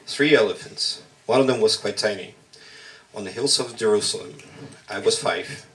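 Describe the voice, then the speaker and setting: A man whose first language is Russian performs a spoken-word poem in measured, halting short phrases at a slam poetry workshop. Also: on the low side, fairly bright tone, far from the mic, rough recording.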